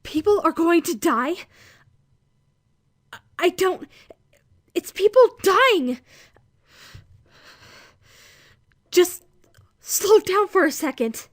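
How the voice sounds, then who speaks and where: Woman acting a high-pitched, flustered young trainee. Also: lively intonation, slow pace, pauses often, no room sound, clean studio sound, exaggerated voice. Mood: panicked. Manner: stammering, hesitant, indignant. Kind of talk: fan dub of a visual novel.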